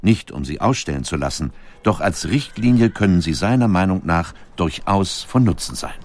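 Very low-voiced male documentary narrator. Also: expressive. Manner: measured, articulate storytelling. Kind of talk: scripted narration.

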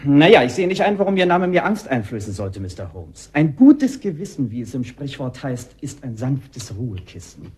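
A man's voice: mid-range voice, sing-song, moderate pace, few pauses, a little mellow, slightly rough. An actor in a German radio drama playing a smug, mocking con man who talks with sardonic, theatrical politeness. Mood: mock-polite, sneering.

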